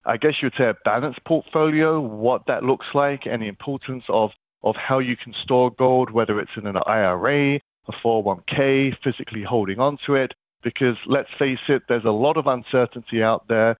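A man's voice: medium pitch, moderate pace, hardly any pauses, no echo. A man with a London accent, a guest telling his story in an earnest, chatty way over the phone. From England. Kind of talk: phone interview. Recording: tinny phone line, dry.

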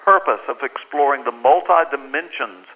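A man's voice: medium pitch, moderate pace, husky. An American man, an author, telling a story earnestly and with emphasis as a guest in a radio interview. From United States. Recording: muffled lo-fi phone line.

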